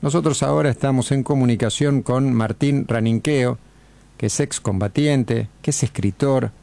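A man's voice: mid-range voice, normal speed, gravelly, dark tone. A man with an Argentine accent hosting a radio interview, asking long, winding questions. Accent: Argentine Spanish. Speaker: radio host.

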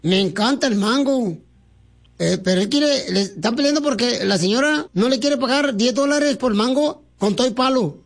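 A man plays a very high-voiced, silly Mexican guy on a prank phone call. He is naive and flirty, full of slangy, deadpan wordplay. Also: normal speed, vocal fry, heavily acted. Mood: playful, flirty.

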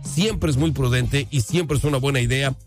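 A man hosting a live radio show, speaking upbeat and emphatic with courteous, drawn-out announcer phrasing. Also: mid-range voice. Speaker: radio host. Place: radio studio. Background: music playing under the voice.

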